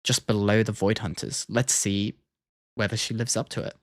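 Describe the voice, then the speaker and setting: A man's voice: lowish voice, steady tone, moderate pace, dry recording. A man giving casual livestream reaction commentary, thinking aloud in a hesitant way. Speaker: streamer.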